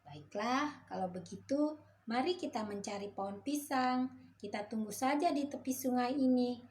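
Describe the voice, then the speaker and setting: A woman's voice: fairly high pitch, moderate pace, a little mellow, a little hiss or hum, voice pushed up, lightly acted. Woman doing a kind cheerful frog. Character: kind good-hearted frog friend.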